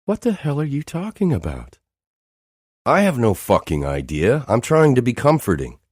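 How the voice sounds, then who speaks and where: A man voicing a tearful, bitter young woman with a high, strained voice close to tears. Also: huge pitch swings, normal speed, darkish tone, no room sound. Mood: exasperated.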